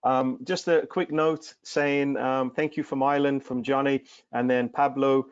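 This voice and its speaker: A man's voice: mid-range voice, a little mellow, no room sound. A man whose first language is Greek, hosting an online webinar and praising his guests effusively. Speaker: webinar host. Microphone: video call. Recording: dry, muffled video call audio.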